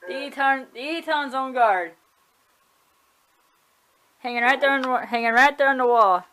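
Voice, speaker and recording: A woman's voice: high-pitched, moderate pace, a bit bright, low-quality recording. A woman casually showing off her collection at home, chatty and offhand, often correcting herself and shrugging things off. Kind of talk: casual home video tour. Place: room at home.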